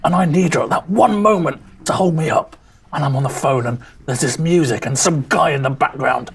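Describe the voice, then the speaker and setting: An actress playing a bereaved, resentful grown daughter in a drama, blunt, wounded and accusing. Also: female voice, low voice, brisk. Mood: anguished, accusing.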